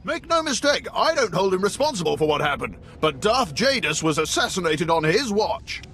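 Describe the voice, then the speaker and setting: High-pitched, theatrical male spy chief. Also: sing-song, normal speed, a bit bright, slight background noise, full character voice. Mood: confiding. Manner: theatrical, effusive, gossipy. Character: excitable, wry male spy station chief, theatrically chatty. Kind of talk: video game voice acting.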